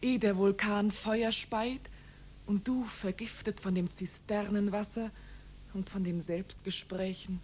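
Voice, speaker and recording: A woman's voice: mid-range voice, fairly even intonation, unhurried, a little mellow, close to an everyday voice. An actress playing an exasperated, yearning woman addressing an absent man with intimate theatrical reproach in a 1950s German radio play. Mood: reproachful, longing. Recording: noisy, humming 1950s radio recording.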